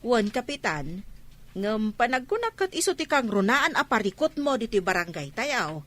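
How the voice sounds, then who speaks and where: A gossipy village woman, acted by a woman in a comedy radio play. Her voice is bright and sharp, and she talks in a teasing, exclamatory, conversational way. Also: on the high side, big pitch swings, moderate pace. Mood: prying. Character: nosy, chatty village woman swapping gossip. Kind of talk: Ilocano comedy radio drama acting.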